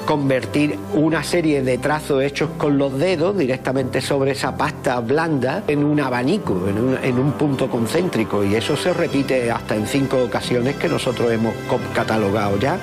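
A man, a scientific adviser, explaining his findings in an interview, careful and pausing to restart phrases. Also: mid-range voice. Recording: interview clip with music underneath.

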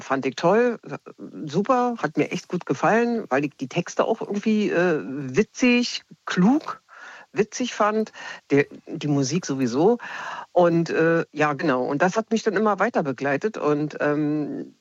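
A woman's voice: sits low, animated, unhurried, no echo. A woman from Berlin with a Berlin accent calling in to a radio show, chatting casually and hesitantly with lots of filler sounds. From Berlin, Germany. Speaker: radio listener caller. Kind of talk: casual call-in chat. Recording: dull lo-fi phone line.

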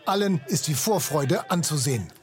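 A man's voice: on the high side, lively intonation, normal speed, soft background music. A man narrating a scripted sports report in standard German.

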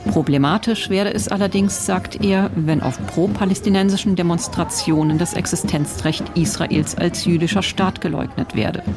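A woman narrating a news report in a measured, even reporting voice. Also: on the low side, over music. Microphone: studio voice-over mic.

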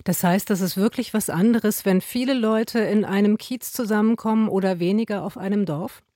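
A woman from Berlin hosting a morning radio show, introducing her guest and asking lively questions. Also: medium pitch, normal speed, no echo, studio quality. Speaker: radio host.

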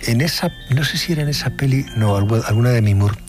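A man's voice: medium pitch, fast. A middle-aged man, chatting casually as a radio host. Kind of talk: casual interview chat. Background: background music.

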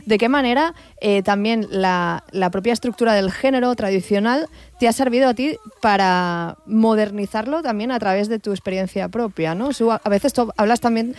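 A woman's voice: medium pitch, normal speed, a little mellow. A woman hosts the interview. She builds long, meandering questions, dotting them with fillers before she reaches the point.

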